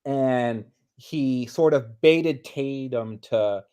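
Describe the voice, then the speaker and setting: A man with a middling pitch gives casual, analytical sports commentary. He explains tactics piece by piece in a conversational, co-host manner. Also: drawn-out words, dark tone, smooth. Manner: explanatory, thinks through tactics step by step. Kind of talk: casual analytical play breakdown.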